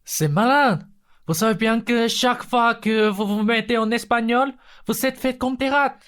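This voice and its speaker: A woman's voice: a little high, normal speed, close to an everyday voice. A woman playing a cheeky, grumbling young male thief in a comic radio play, defiant and complaining.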